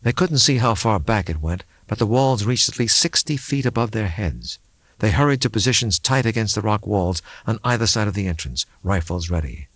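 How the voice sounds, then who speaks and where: A man reading a suspense novel as an audiobook narrator, in a measured, dramatic way. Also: lowish voice, animated, a bit bright, dry recording.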